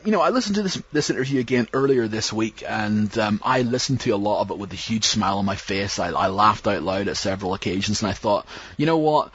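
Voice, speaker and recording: A man's voice: fairly low pitch, breathy edge. A man hosting a podcast, introducing an interview in a loose, rambling way. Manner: rambling, with many restarts and fillers. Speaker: podcast host. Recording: dull sound.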